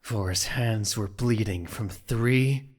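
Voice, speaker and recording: A man's voice: on the low side, takes it slowly, darkish tone. A man reading scripted, dramatic narration for an audio drama.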